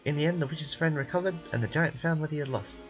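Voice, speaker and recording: Man voicing a high-pitched, sweet young child. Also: quick pace, faint music behind, lightly acted. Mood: earnest storytelling.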